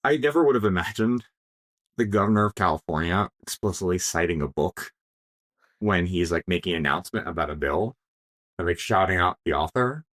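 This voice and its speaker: Animated man, Polish first language. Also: low voice, brisk. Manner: animated, jokey, lots of fillers. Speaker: podcast co-host. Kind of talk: casual chat.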